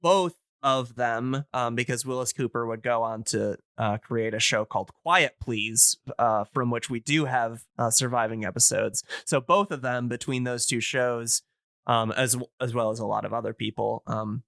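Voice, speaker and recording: A man co-hosting a casual podcast discussion, explaining things in a halting, digressive way. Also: mid-range voice, gravelly, a bit bright, dry recording. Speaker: podcast co-host explaining radio history.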